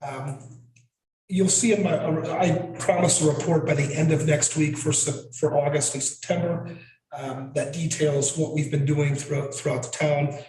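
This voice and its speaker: Hoarse man giving a meeting report. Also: a little high, fairly even intonation, a little mellow. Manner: halting, many fillers and restarts. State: losing his voice. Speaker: town administrator. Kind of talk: verbal staff report at a town board meeting. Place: council meeting room. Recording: echoey meeting room, mic far off.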